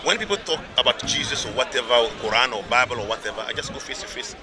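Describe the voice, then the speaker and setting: A man's voice: medium pitch, lively intonation, quick pace. A man, arguing insistently in a heated street debate and repeating himself to hold the floor. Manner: insistent, repeats "listen" over and over. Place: outdoor public speaking area. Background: crowd of onlookers.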